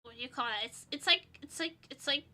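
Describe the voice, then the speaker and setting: A woman's voice: high-pitched, fast, sharp tone. A young woman streamer whose first language is Portuguese, chatting casually while tipsy, giggling and rambling with crude jokes. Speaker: vtuber streamer.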